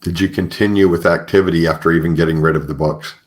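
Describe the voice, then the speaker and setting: A man's voice: deep voice, steady tone, quick pace. A man hosting a casual interview, giving short attentive responses and asking questions. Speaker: podcast host interviewer.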